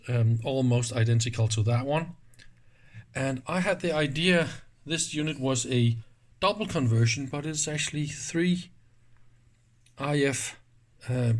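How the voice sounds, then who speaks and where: A man gives a casual, hands-on technical demonstration in an informal, enthusiastic manner. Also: mid-range voice. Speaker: host of an electronics teardown channel.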